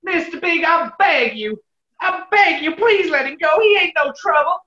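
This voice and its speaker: A woman's voice: very high-pitched, lively intonation, normal speed, pushed and bright, full character voice. A woman playing a devoted, overwrought sweetheart with breathless melodrama in a radio play. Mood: pleading. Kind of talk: 1940s-style radio play acting. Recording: roomy, muffled online audio.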